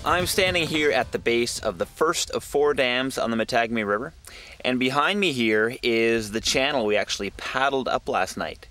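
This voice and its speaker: A man in his thirties talking unscripted to a camera outdoors on a canoe trip, with a halting delivery. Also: mid-range voice, sharp tone, faint music behind. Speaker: expedition filmmaker and presenter. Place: outdoors by a wild river and trail. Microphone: handheld camera at arm's length. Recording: noisy outdoor camera audio with ambient sound.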